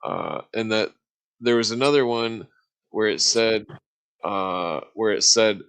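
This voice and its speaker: A man giving a casual, rambling explanation as host of an online discussion, halting and searching for words as he loses his thread. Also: on the low side, fairly bright tone, clear, resonant tone. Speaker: host explaining esoteric symbols.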